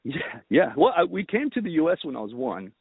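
A man in his thirties, Romanian-born and raised in California, answering interview questions in a relaxed, conversational way. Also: on the high side, brisk, vocal fry, breathy edge, no room sound, narrow, tinny audio. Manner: relaxed, conversational, many fillers. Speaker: guest, business owner.

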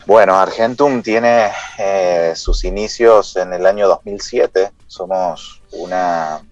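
A deep-voiced man with a Dominican accent, appearing as a guest on a radio show. He speaks in a measured way, pausing often and filling gaps with "eh". His voice comes through a slightly dull, muffled line that has no room echo. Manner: measured, with many pauses and "eh" fillers. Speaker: software company executive, guest. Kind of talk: radio interview.

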